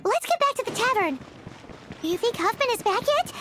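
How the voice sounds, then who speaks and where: Tiny female fairy, very high childlike squeak, swooping excitable intonation, video game character. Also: moderate pace, slight background noise, full character voice. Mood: cheerful. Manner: exclamatory, refers to self in third person. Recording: loud music behind.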